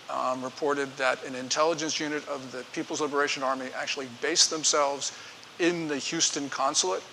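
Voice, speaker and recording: Forceful man lecturing in a hall. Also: mid-range voice. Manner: forceful, emphatic, editorializing. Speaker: guest speaker. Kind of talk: lecture with audience Q&A. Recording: reverberant hall with faint hiss.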